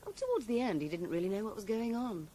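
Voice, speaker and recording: A woman's voice: mid-range voice, huge pitch swings, fast talker, mellow, slight background noise. An actress playing a cool, guarded woman in a radio drama, composed, formal and matter-of-fact. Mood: guarded, frank.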